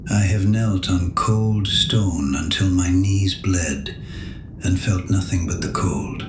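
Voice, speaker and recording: Slow, deep-voiced elderly priest. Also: male voice, fairly even intonation, over music. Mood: weary, devout. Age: older. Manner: measured, grave, gently reproving. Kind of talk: audio drama acting. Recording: echoey room with audible background noise.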